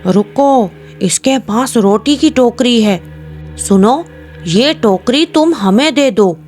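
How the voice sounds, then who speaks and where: A woman's voice: fairly high pitch, lively intonation, takes it slowly, darkish tone. A woman voicing a bossy, suspicious forest dwarf in a cartoon story, over music. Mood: demanding.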